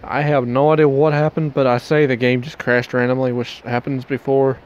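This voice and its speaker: A man's voice: mid-range voice, flat delivery, normal speed, darkish tone, muffled recording. A young man with a headset mic, rambling casually as he wraps up a game stream in a small bedroom. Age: young adult. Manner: rambling, loose restarts, Southern y'all. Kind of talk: casual stream outro to camera.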